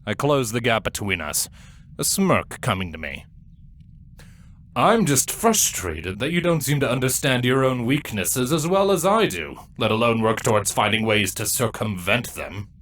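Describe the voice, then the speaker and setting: A man playing a smug, gruff older-brother changeling, a sardonic insect creature, narrating his story in a dry, taunting way. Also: medium pitch, big pitch swings, moderate pace, fairly bright tone, a little hiss or hum. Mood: stern, softer. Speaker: first-person narrator and character. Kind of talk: dramatic first-person story narration.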